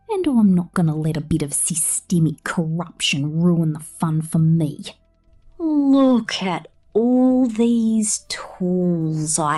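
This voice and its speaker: A woman's voice: on the low side, dark tone. A woman voicing a possessive, sinister robot mechanic in an ASMR roleplay, teasing and cooing intimately, partly in whispers.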